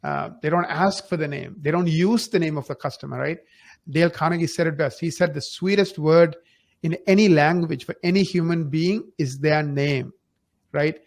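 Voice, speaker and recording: A man's voice: on the high side, normal speed, vocal fry. A man giving advice conversationally as a podcast guest, emphatic and fond of rhetorical questions and acted-out examples. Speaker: podcast guest, marketing company founder.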